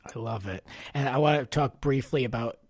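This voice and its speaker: A man's voice: medium pitch, moderate pace, no room sound, studio quality. A man hosting a podcast, interviewing in a casual, conversational style.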